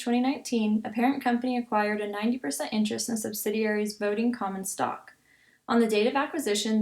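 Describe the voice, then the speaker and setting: A woman's voice: on the high side, a little mellow. A woman instructor giving an explanatory tutorial, reading and thinking aloud with some self-correction. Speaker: accounting instructor. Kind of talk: explanatory tutorial, reading then working through a problem.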